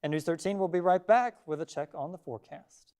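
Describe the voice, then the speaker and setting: A man's voice: on the high side, fairly quick, a little mellow. A man anchoring a local television newscast, reading scripted stories from a studio. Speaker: TV news anchor.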